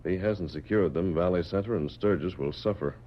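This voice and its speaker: Casting: male actor as earnest western hero, very low voice, commanding formal delivery, muffled vintage radio sound. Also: a little fast, close to an everyday voice. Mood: resolute. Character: resolute masked cowboy hero, earnest and commanding. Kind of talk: 1940s radio western acting. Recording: muffled vintage radio recording, faint noise.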